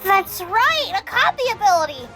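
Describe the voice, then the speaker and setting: A woman's voice: very high-pitched, big pitch swings, moderate pace, over music. A woman voicing a small, timid, caring male sidekick creature in a fan radio play, earnest, anxious and hesitant. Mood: amazed.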